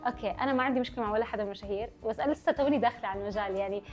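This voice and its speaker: A woman's voice: a little high, rapid-fire, a little mellow, faint music behind. A young adult woman with a Levantine Arabic accent, chatting casually to her followers as a vlogger and running her words together. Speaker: vlogger answering followers' questions.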